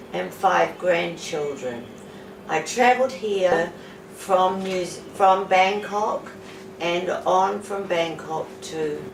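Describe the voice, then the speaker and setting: A woman in her seventies from New Zealand, giving an unscripted testimonial to camera as a patient in an indoor room, speaking slowly with pauses and fillers. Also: medium pitch. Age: 70s. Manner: slow, measured, with pauses and fillers. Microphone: camera mic from a few feet away. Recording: roomy, distant camera audio with background hiss.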